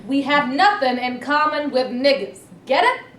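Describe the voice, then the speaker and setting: A woman's voice: sits high, lively intonation, moderate pace, fairly bright tone. A woman reading a blunt, hostile Southern union official, a man, in a staged play. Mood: scornful, suspicious. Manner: blunt, combative. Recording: echoey room, distant mic, faint noise.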